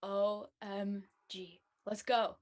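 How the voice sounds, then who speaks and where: A woman's voice: medium pitch, flat delivery, very slow. A woman playing a supportive, slightly worried teenage student with casual slang in a scripted drama. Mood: excited, concerned.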